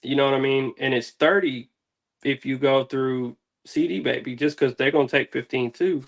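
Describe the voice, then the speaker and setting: A man's voice: mid-range voice, steady tone, moderate pace, creaky. A man chatting casually as an interview guest, laid-back, slangy and rambling. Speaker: interview guest, music artist. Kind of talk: casual chat.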